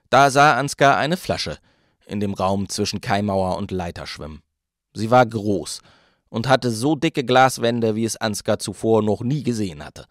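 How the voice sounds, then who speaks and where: A man reading a short story as an audiobook narrator in a measured, scripted storytelling delivery. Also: mid-range voice, dry recording, clean studio sound.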